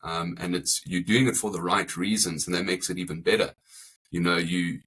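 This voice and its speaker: A man's voice: low voice, pushed and bright, breathy edge, low-quality recording. A man with an English South African accent, a family insurance broker speaking thoughtfully in an interview and often repeating words as he builds his point. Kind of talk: interview conversation.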